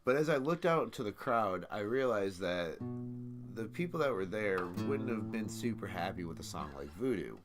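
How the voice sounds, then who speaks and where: A man with a low monotone voice, co-hosting a casual late-night livestream, rambling with many fillers and restarts. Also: normal speed, music in the background. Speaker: co-host.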